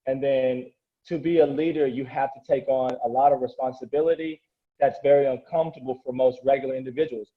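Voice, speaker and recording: A man's voice: medium pitch, steady tone, a little fast. A man whose first language is Korean speaks as a coach in a casual interview. He talks earnestly and motivationally, rambling and restarting his thoughts. His mid-range voice comes through dull and lo-fi.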